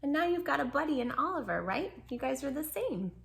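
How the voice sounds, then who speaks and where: A woman's voice: high-pitched, very animated, brisk, gravelly, a bit bright. A woman hosting a children's story read-aloud, speaking expressively and affectionately straight to her young listeners. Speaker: children's storybook reader and host.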